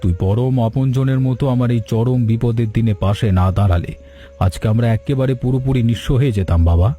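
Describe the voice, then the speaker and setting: A man's voice: medium pitch, a little fast, dark tone, faint music behind, close to an everyday voice. A man voicing an affectionate old father-in-law, an earnest village farmer in a cartoon story, speaking in a fatherly, sentimental way. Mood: grateful, fond.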